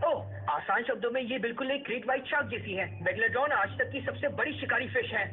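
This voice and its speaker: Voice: mid-range voice, fast talker, lightly acted. A voice actor dubbing a cartoon teenage son who eagerly explains science facts and plans. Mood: explaining. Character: young male son, eager science-minded teen. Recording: tinny phone-like sound with music.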